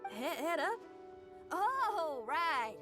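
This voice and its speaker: Exuberant young female game character; high, lively, teasing delivery. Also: drawn-out words, music in the background, exaggerated voice. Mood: excited. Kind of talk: video game voice acting.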